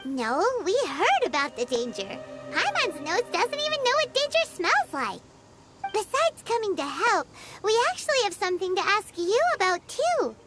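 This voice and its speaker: Tiny game fairy sidekick: very high, squeaky child voice, lively delivery, music behind. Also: female voice, normal speed. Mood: curious, chatty. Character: tiny chirpy excitable fairy-like girl companion. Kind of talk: anime game character acting.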